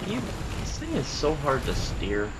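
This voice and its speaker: A man's voice: medium pitch, huge pitch swings, a little slow, very noisy. A man hosting casual gameplay commentary, sounding stuffy in the nose after just waking up, chatty and self-deprecating. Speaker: gaming channel host. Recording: game sound and gunfire behind.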